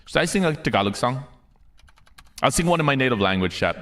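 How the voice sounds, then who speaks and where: A man's voice: mid-range voice, very animated, a little fast. A man from the Philippines hosting a karaoke livestream, chatting casually and slangily with his viewers as bros and boys. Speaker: livestream host and karaoke singer. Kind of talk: casual livestream chat between songs. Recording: music playing loudly behind the voice. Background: loud karaoke backing music.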